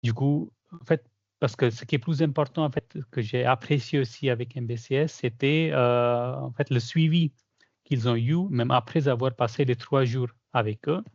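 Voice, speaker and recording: A man's voice: medium pitch, normal speed, darkish tone, dry recording. Hesitant man on a muffled call. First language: not French. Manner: hesitant, many fillers and restarts. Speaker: certified former trainee sharing his experience. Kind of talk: casual testimonial in a video call Q&A.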